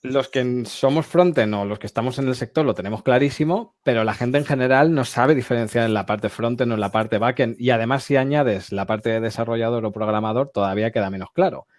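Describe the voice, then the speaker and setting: A man's voice: medium pitch, brisk, few pauses, no room sound, studio quality. A man in his thirties from Barcelona, a guest speaker explaining things in a casual, chatty way on a video call. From Barcelona, Spain. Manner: chatty, explanatory, laughs easily. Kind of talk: casual presentation and chat. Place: home office, indoors. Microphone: video call with earbud headset.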